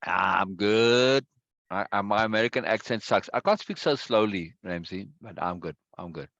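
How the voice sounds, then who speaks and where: A man hosting a casual video-call chat, talkative and quick to repeat himself and laugh. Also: lowish voice, lively intonation, gravelly, a bit bright, no echo, dull audio. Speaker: meeting host.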